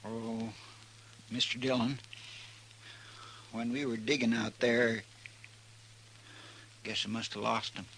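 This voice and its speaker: A man's voice: mid-range voice, level tone, slow pace, long pauses. A man playing a folksy, deferential, hapless deputy sidekick, hesitant in speech, in a 1950s radio western. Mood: sheepish. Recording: noisy humming 1950s radio recording.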